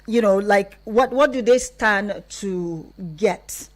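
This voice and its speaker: A Nigerian woman hosts a radio interview in a medium-pitched voice. She is encouraging with her guests and speaks conversationally as she puts questions to them. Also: slow. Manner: encouraging, frequent verbal backchannels. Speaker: radio host.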